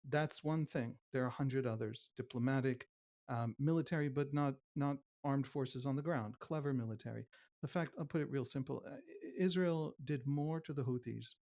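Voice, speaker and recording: Mid-pitched male guest with a Wisconsin accent; fluent, impassioned analyst who stacks lists and repeats for emphasis. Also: darkish tone. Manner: fluent, building lists and repetition.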